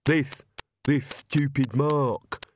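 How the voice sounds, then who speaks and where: A man voicing a blunt, put-upon male servant with curt, flat replies. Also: mid-range voice, extremely slow, noisy background.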